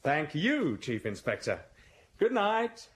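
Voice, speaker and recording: A pushy newspaper reporter badgers a detective with eager questions. His voice is high and bouncy, over a faint hiss. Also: huge pitch swings, slow, heavily acted.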